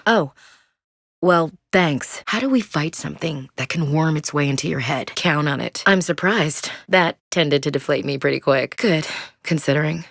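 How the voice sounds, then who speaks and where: A frank, steady woman soldier for a sci-fi video game. Her voice sits low and she speaks in plain, dry military terms. The studio recording is close and dead dry. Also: vocal fry, no echo. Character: tough female human soldier, blunt and loyal. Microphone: close studio mic.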